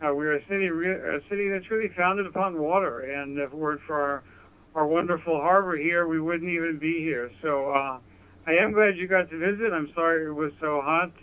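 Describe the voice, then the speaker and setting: Smooth American man over narrow-band radio. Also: on the high side. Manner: polite, measured, deliberate. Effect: radio transmission. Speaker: amateur radio operator. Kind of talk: ham radio contact, conversational. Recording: narrow, phone-like handheld radio audio.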